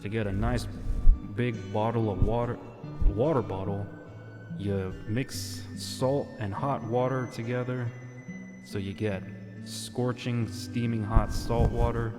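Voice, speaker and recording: A man's voice: mid-range voice, steady tone, slow. A man hosting a casual ASMR roleplay livestream, chatting to viewers in a courteous, playful way. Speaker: livestream host. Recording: long reverb, music behind.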